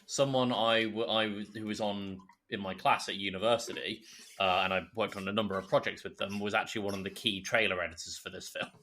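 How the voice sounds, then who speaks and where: A man with a lowish voice and a bright, forward edge. He co-hosts a podcast and speaks in a casual, conversational way. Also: fairly even intonation, fairly quick. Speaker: podcast co-host. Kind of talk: casual chat.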